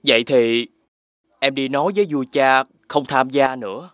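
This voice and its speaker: An actor playing a kind, humble young farmer prince in an animated fairy tale, earnest and respectful. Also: male voice, mid-range voice, normal speed, no room sound, studio quality, lightly acted. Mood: meek. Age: young adult.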